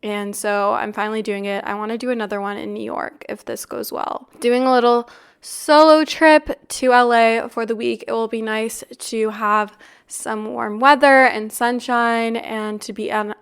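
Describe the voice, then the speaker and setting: A young woman in her twenties hosts a chatty podcast, sounding a little congested and raspy from a recent flu. Her voice sits fairly high and stays smooth and clear, with a flat, even melody as she talks upbeat and easy. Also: a little slow. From Canada. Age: 20s. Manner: chatty, upbeat, with frequent "like" and "really". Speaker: podcast host. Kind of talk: casual chat.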